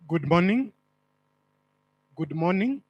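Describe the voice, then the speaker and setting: Kenyan guy introducing himself real slow, slightly high voice. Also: very animated, dull audio. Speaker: conference delegate.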